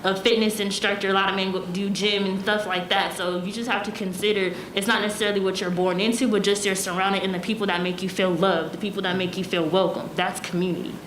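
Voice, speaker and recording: Young female panelist from the US, mid-pitched, conversational and explanatory, in a reverberant room with faint noise. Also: flat delivery, quick pace, hardly any pauses, a bit bright. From United States. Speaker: town hall panelist. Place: echoey room at a town hall forum.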